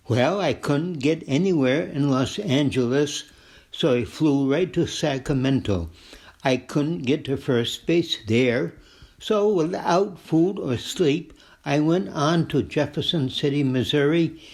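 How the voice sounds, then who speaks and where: A man playing a proud, persistent salesman in an old-time radio comedy, with a deliberate delivery. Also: a little high, gravelly, a little mellow, heavily acted. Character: proud, persistent travelling errand man selling his wares.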